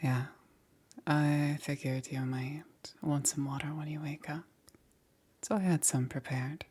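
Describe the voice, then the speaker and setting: A woman playing a gentle witch caring for a stranger in a soothing ASMR roleplay, breathy and often dropping into a whisper. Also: low-pitched, steady tone. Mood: gentle, attentive. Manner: unhurried, soothing, checking in with short murmurs. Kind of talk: soft-spoken ASMR roleplay.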